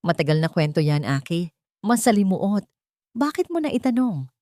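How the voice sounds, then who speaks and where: A woman's voice: on the low side, big pitch swings, moderate pace, a little mellow, dry recording. Cautious old family-friend businessman played by a female narrator, grave and careful, audio drama, dry sound. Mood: guarded, warning. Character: cautious elderly businessman, warm but guarded uncle.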